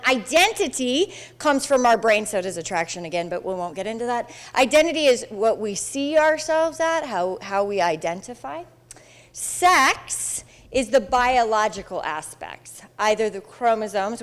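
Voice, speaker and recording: A woman with a big voice giving a chatty, animated classroom presentation into a handheld mic. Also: mid-range voice. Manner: animated, chatty, acts out quoted reactions. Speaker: psychology instructor and Pride Center coordinator. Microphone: handheld microphone.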